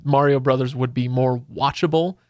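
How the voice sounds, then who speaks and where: A man's voice: mid-range voice, fairly even intonation, moderate pace, darkish tone, no echo. Easygoing male host, very dry sound. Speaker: podcast host. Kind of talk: casual chat.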